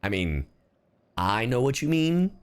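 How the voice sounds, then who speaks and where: A man livestreaming casual game commentary, excitable and reactive, swearing freely. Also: mid-range voice, measured pace, smooth.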